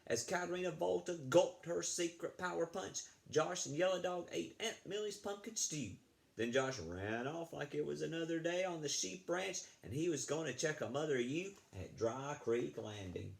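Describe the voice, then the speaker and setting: A man reading a picture book aloud to children in an animated, chatty way, breaking off to ask them questions. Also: fairly high pitch, moderate pace, vocal fry. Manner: animated, chatty, asks the young listeners questions. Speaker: children's read-aloud storyteller, school librarian.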